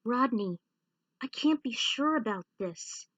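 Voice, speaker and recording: Woman acting a worried, high-voiced heroine. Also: lively intonation, slow, fairly bright tone, no echo, lo-fi sound, full character voice. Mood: anxious. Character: worried woman, devoted but full of doubt. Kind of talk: storybook character reading.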